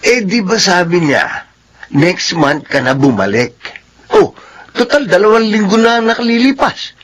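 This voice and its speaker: A man's voice: high-pitched, sing-song, a little slow, fairly bright tone, full character voice. A man playing a skeptical, teasing male buddy in a Filipino drama. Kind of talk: radio drama dialogue.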